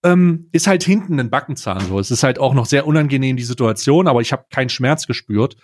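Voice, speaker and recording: A man's voice: medium pitch, animated, a little fast, darkish tone, slight background noise. A man telling anecdotes casually as a podcast co-host, blunt and slangy, dropping in bits of English.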